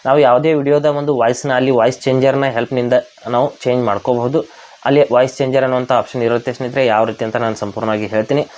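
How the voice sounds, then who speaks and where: Indian male tutorial host, quick pace, medium pitch, muffled lo-fi recording, step-by-step explaining. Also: flat delivery, darkish tone. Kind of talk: step-by-step app tutorial narration. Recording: dull, lo-fi voice recording.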